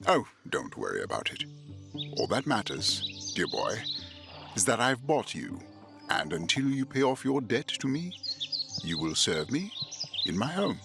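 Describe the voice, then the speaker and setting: An actor playing a wealthy, menacing lord in an audio drama, drawling formally with condescending, cool amusement. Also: male voice, mid-range voice, huge pitch swings, a little slow, gravelly, pauses often, music in the background, full character voice. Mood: smug, menacing.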